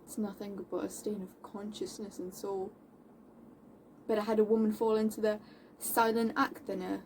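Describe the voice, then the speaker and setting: A young woman playing a defiant, scornful tomboy of seventeenth-century London in a classical stage monologue, declaiming indignantly with dramatic pauses. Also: medium pitch, darkish tone, lightly acted. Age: young adult. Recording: distant mic with faint background noise.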